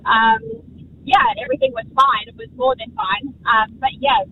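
A woman's voice: high voice, big pitch swings, normal speed. A middle-aged woman with a South African accent, calling a radio show to tell a story in a hesitant, casual way full of ums. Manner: hesitant, many ums, laughing. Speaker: radio caller. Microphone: phone line. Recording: muffled phone call with road noise.

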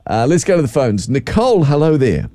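A man's voice: a little high, sing-song, a little fast, dark tone. A man hosting a radio show, reading listener texts aloud and laughing often. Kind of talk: casual radio banter.

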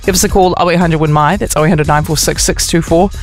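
A man's voice: a little high, steady tone, fast talker, faint music behind. A man co-hosting a breakfast radio show, chatty and giggling between stories. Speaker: radio co-host. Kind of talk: casual breakfast radio chat.

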